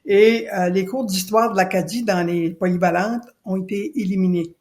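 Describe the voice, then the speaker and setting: A woman's voice: medium pitch, fairly even intonation, normal speed, darkish tone. A woman from New Brunswick, speaking earnestly as a podcast guest and repeating her key points for emphasis. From Acadia, New Brunswick. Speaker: guest, citizen committee spokesperson. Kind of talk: podcast interview.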